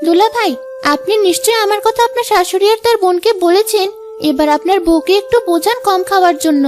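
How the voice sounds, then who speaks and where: Woman doing worried loyal maid girl in a cartoon, very high voice, earnest, bit of music. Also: fast talker, a little mellow. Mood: concerned. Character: watchful, protective young housemaid. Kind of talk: Bengali cartoon dialogue.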